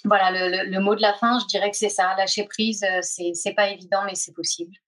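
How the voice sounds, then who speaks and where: A woman guest answering a question reflectively, in halting speech with many fillers and pauses. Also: mid-range voice, fairly even intonation, a bit bright, low-quality recording. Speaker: guest, a reader of the book. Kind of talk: casual interview answer.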